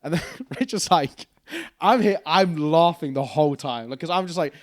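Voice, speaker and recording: Dry, slightly high-voiced man telling a story. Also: moderate pace, dry recording. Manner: rambling anecdote, heavy use of "like"; swears freely, amused storytelling. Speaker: co-host. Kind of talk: casual chat.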